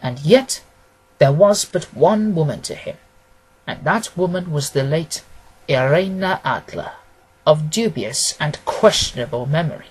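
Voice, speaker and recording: A man narrating a classic detective story as an audiobook, reading in a measured, formal, expressive way. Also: fairly high pitch, husky, rough recording.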